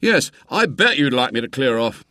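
A man's voice: mid-range voice, sing-song, moderate pace, sharp tone, no room sound, studio quality, pitched above the performer's own voice. A man voicing a small, self-important boy who fancies himself a spy, in a storybook reading. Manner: darkly boastful, self-important. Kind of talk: acted dialogue in storybook reading.

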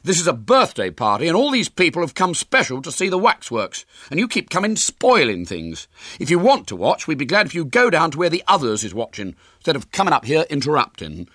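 A man's voice: fairly high pitch, big pitch swings, a little fast, few pauses, no echo, studio quality, pitched above the performer's own voice. A man voicing a pompous, indignant schoolboy who declaims like a showman in a storybook reading. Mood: stern, indignant. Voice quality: hoarse when shouting. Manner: declamatory, stern, ungrammatical schoolboy speech.

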